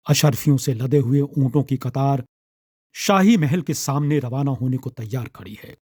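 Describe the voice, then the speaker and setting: A man narrating a scripted literary story in a measured, dramatic storytelling manner. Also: mid-range voice, mellow, slightly rough, no room sound, clean studio sound.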